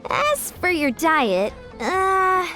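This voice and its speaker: A woman voicing an upbeat, encouraging young fitness coach in a video game, brisk and confident as she instructs. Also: extremely high pitch, very animated, sharp tone, soft background music, heavily acted. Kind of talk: game character dialogue.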